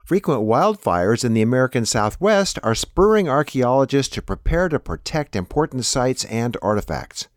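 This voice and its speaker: Male news host, lively pitch swings. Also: moderate pace, few pauses, no room sound, clean studio sound. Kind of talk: scripted news introduction and appeal.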